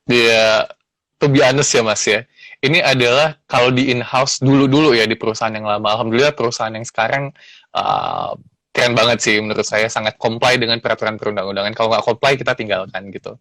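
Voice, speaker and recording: A man's voice: mid-range voice, animated, brisk, fairly bright tone, no room sound. Earnest man in his thirties, dry sound. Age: 30s. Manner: earnest, reflective, many fillers. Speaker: guest lawyer. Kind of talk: casual interview.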